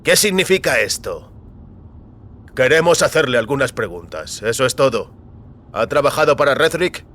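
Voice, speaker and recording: A man's voice: mid-range voice, expressive, moderate pace, fairly bright tone. A man playing a stern government security officer in audio fiction, pressing with clipped, insistent questions. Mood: stern, probing.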